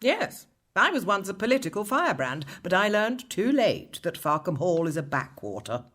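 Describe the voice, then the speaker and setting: A disillusioned lady of the manor in a period radio comedy. Her voice is husky and expressive, worldly and weary. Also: on the low side, normal speed. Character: world-weary upper-class mother, once a political firebrand. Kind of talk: comic period radio drama.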